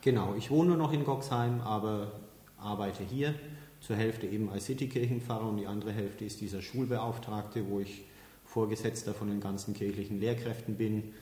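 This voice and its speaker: Mann, entspannter Pfarrer in halligem Raum. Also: male voice, fairly low pitch, moderate pace. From Middle Franconia, Germany. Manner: relaxed, explains at length, chuckles now and then. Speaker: pastor, podcast guest. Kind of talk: casual interview talk. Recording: echoey, reverberant room.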